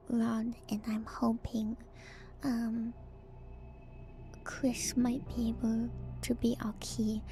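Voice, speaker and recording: A woman streamer doing a whispered ASMR reading with casual commentary, musing in a hushed, drawn-out way. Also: on the high side, husky. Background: background music.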